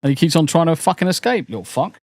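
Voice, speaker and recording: A man's voice: fairly high pitch, very animated, fast talker, darkish tone, no room sound, soft background music. A man co-hosting a live stream, chatting casually, swearing freely and calling his partner "mate.". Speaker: stream co-host.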